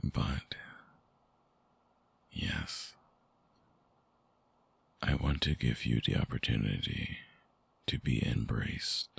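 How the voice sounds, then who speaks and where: A man voicing a lovesick vampire in intimate ASMR roleplay, husky and breathy, often dropping toward a whisper, halting, tender and earnest, close on the mic. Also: very deep voice, no room sound, exaggerated voice. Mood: tender, devoted. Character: lovesick male vampire returning to his lost lover. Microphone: close binaural microphone.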